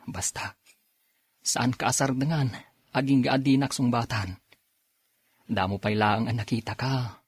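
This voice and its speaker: A man's voice: mid-range voice, flat delivery, unhurried, long pauses, husky, no room sound. A man playing an earnest young provincial suitor in a radio drama, speaking with an Ilocano accent. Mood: pleading. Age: young adult. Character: earnest 24-year-old provincial man courting an older woman.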